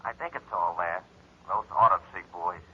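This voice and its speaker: An actor playing a laconic, darkly humorous morgue attendant through a telephone filter in 1940s radio style. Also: male voice, sits low, moderate pace, pushed and bright, slightly rough, exaggerated voice. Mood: droll. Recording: thin phone line on old radio.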